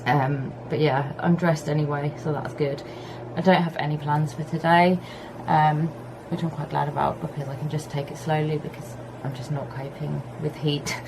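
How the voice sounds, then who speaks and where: A woman chats to her vlog camera at home, her low voice worn husky and hoarse with a gravelly edge. She rambles in an easygoing, unhurried way. A faint hum from a fan sits under her voice. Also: steady tone. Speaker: vlogger. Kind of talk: casual vlog chat to camera. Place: home on a hot day, fan running. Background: fan and pressure washer outside.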